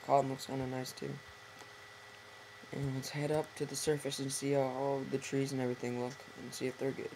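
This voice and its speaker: A hoarse teenage boy with a gravelly, creaky voice rambles through a let's-play, correcting himself and talking to his viewers. He sounds like he is at a home desk mic, with audible background noise and keyboard typing. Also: mid-range voice, monotone, brisk. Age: teens. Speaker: gameplay commentator. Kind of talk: casual let's-play commentary. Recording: noisy home recording with typing.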